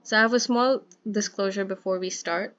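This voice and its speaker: A woman's voice: medium pitch, fairly even intonation, normal speed, fairly bright tone. Young woman interviewer, dull lo-fi sound. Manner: friendly, chatty, lots of likes and fillers. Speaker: student interviewer. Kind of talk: casual student interview. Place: at home.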